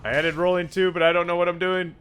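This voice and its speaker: A young man with a raspy, sore-sounding voice, casually commenting on his gameplay, often breaking into excited yelps between low mumbles. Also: quick pace. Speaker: gaming YouTuber.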